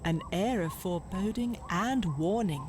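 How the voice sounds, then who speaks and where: A woman reading scripted literary narration for a children's audio drama in a measured, dramatic, descriptive way. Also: medium pitch, expressive, noisy background.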